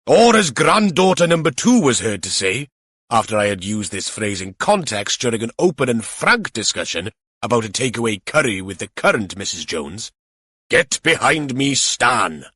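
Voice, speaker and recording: A man's voice: mid-range voice, very animated, normal speed, bright, forceful tone, slightly rough, no echo, clean studio sound, full character voice. A man voicing an older police sergeant, a droll grandfather with a mock-solemn, wordy manner, in an audiobook.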